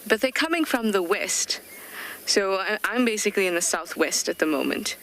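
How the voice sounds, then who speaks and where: A woman's voice: mid-range voice, expressive, moderate pace, a bit bright, a little hiss or hum, poor audio. A woman working as a safari guide, thinking aloud in chatty, curious live commentary from a vehicle in the bush. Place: game drive vehicle outdoors in the bush.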